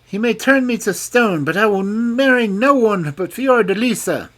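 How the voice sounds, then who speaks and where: Narrator doing a hot-headed young king, raised voice, lively and loud. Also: mid-range voice, moderate pace, pitched above the performer's own voice, natural voice. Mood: defiant. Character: young king, passionate and stubborn.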